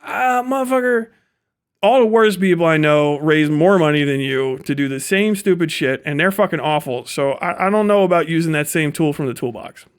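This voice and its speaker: Higher-voiced man riffing bluntly on livestream. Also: animated, normal speed, clean tone. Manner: profane, blunt, sardonic. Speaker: live streamer. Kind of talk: off-the-cuff livestream commentary.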